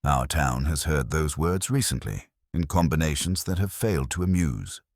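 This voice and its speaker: A man reading a courteous, quietly firm police inspector in an audiobook, polite, understated and formal. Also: extremely low pitch, lively intonation, moderate pace, dry recording, clean studio sound, exaggerated voice. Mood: courteous, measured.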